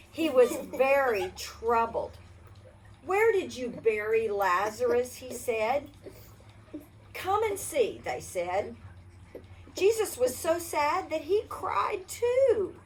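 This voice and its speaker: An older woman reading a Bible story to children in a gentle storybook manner, stopping to ask the kids questions. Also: high-pitched, sing-song, slow, a little hiss or hum, low-quality recording.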